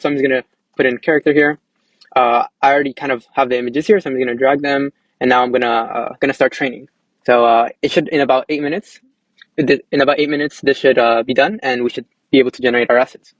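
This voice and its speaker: A young adult man giving a casual, informal software demo, speaking hesitantly and often repeating words as he goes. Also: medium pitch, fairly even intonation, fast, dry recording, muffled recording. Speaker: app developer presenting a demo.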